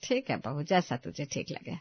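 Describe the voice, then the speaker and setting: A woman's voice: low-pitched, very animated, normal speed, breathy edge, no room sound, lo-fi sound, exaggerated voice. A woman playing a kindly, indulgent middle-aged mother-in-law in story dialogue. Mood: resigned.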